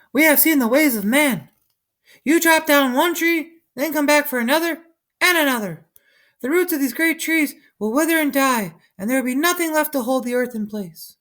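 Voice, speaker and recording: A man voicing a troop of monkeys in a shrill, squeaky voice. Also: fairly bright tone.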